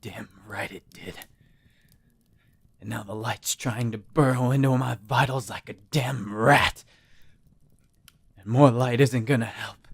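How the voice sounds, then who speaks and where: A man playing a bitter, wounded dockworker in an audio drama, with a gravelly, labored, sardonic delivery. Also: medium pitch, measured pace, long pauses. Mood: weary resentment. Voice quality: raspy, gravelly. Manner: sardonic, labored speech broken by coughs. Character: bitter, dying wounded dockworker, foul-mouthed and defiant.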